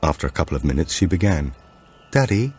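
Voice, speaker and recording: A man narrating a suspense thriller audiobook in a measured way, with dramatic pauses. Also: a bass voice, lively intonation, a little mellow, slight background noise.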